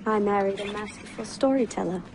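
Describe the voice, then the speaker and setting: A woman's voice: mid-range voice, fairly quick, noisy background, natural voice. A woman playing a wise, steadfast wife of an assassin master in a video game, giving earnest, formal counsel with composure. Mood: calm, earnest. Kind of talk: dramatic video game cutscene acting. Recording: loud music over the dialogue.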